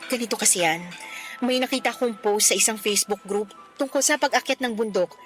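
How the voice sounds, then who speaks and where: A woman's voice: medium pitch, fairly quick, faint music behind, close to an everyday voice. An actress playing a concerned best friend in a radio drama, earnest and insistent as she explains and justifies herself. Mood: explaining, defensive. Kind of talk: Filipino radio drama acting.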